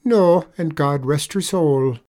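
A performer voicing a soft-hearted, gullible Irish tradesman in a storybook tale, exclaiming tearfully. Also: mid-range voice, takes it slowly, voice pushed up, lightly acted. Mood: sorrowful.

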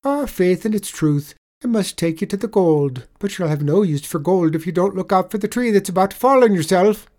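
A sly little leprechaun cobbler pipes up in a high, lively voice with a lilting Irish brogue, as a male storyteller puts him on. He is tricky and wheedling, always trying to fool the man watching him. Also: brisk, hardly any pauses.

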